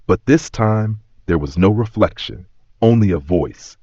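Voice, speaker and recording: A man with a very deep voice delivers scripted dramatic storytelling. His delivery is solemn, measured and reverent. The sound is echoey and slightly dull, with the top end softened. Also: lively intonation. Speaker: narrator.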